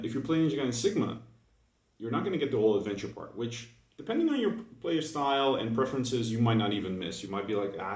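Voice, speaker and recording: A man's voice: medium pitch, a little mellow. A young man with a Dutch accent, just woken up, talking through a casual, hesitant monologue for his gaming video full of fillers and restarts. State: just woke up. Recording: echoey room, mic at a distance.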